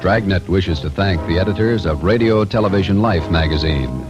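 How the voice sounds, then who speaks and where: Lowish voiced old radio announcer man, lively swings, music behind, vintage recording. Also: moderate pace, dull audio. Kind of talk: 1950s radio announcing, scripted.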